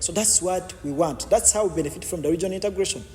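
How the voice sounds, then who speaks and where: A man's voice: high-pitched, fast, darkish tone, big-room sound, soft background music. A man, a podcast guest explaining his views at length, stumbling and repeating words as he goes. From Uganda. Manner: repetitive, many false starts and restarts. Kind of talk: explanatory interview answers.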